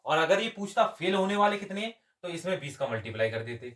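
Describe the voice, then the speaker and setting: A man's voice: on the high side, sing-song, fast talker, a bit bright. A man teaching step by step as an exam maths coach, asking questions and answering them himself. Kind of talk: step-by-step lesson.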